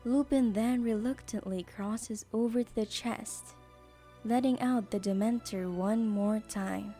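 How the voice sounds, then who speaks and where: A woman's voice: a little high, fairly even intonation, measured pace, dark tone, faint music behind. A woman narrating an audio drama in a scripted storybook style.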